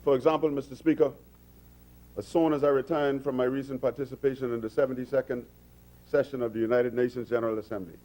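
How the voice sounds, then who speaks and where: A man's voice: medium pitch, fairly even intonation, quick pace, warm tone. Bahamian man giving a parliamentary speech. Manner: earnest oratory with repeated words and restarts. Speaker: government minister addressing parliament. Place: legislative chamber.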